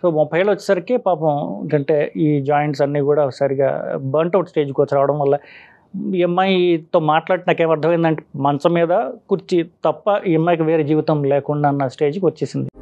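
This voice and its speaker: A man speaking as a doctor, explaining haltingly and mixing in English medical terms. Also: on the high side, steady tone, darkish tone. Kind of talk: explaining a medical condition informally. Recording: slightly dull, lo-fi sound.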